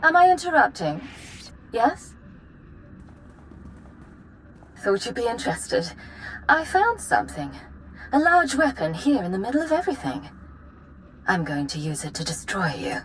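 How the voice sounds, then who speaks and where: A woman playing a cool, steely imperial spy in a video game, deliberate and provocative. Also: a little high, sing-song, a little hiss or hum, rough recording. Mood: taunting.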